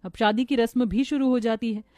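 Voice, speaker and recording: Bright-toned storytelling woman, soft and mellow. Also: fairly high pitch, steady tone, fairly quick, no echo. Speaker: narrator. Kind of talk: scripted children's moral story narration.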